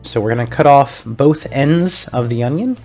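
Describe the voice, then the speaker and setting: Young man, cooking vlog host, upbeat and chatty, mid-pitched voice, light music underneath. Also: normal speed, darkish tone. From Puerto Rico. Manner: upbeat, chatty, step-by-step instructions. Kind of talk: casual recipe walkthrough.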